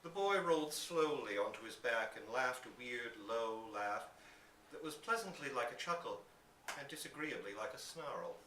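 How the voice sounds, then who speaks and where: A man reading a literary short story aloud to listeners as an expressive storyteller, recorded live indoors. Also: medium pitch, moderate pace. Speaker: story reader. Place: indoor public reading in a bookstore. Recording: roomy, distant live recording with hiss.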